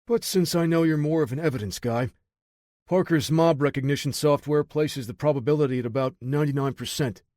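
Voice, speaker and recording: A man's voice: on the high side, fairly quick, darkish tone, dry recording, clean studio sound, pitched above the performer's own voice, lightly acted. A man voicing a tough male field agent with clipped, wry lines in an audiobook. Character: grizzled male field agent, dry humor.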